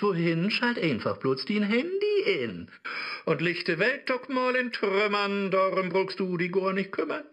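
A man playing a sly, swooping, bright-voiced devil. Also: high voice, heavily acted. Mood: persuasive. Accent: Low German. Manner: theatrical, taunting, rhyming speech. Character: sly, mocking digital Mephisto, a tempting devil. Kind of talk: satirical radio play in verse.

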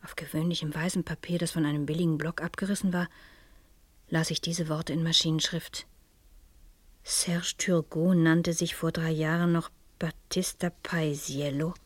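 An actress playing an educated, anxious middle-aged schoolteacher who narrates her own mystery in a reflective, often hushed radio-drama style. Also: sits low, no room sound. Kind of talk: 1970s German radio drama acting, intimate first-person narration.

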